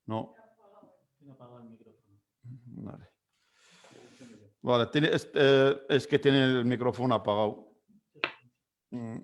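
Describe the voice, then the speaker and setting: A man's voice: mid-range voice, fairly even intonation, takes it slowly, long pauses. A man moderating an online panel debate, introducing the speakers with many hesitations and restarts.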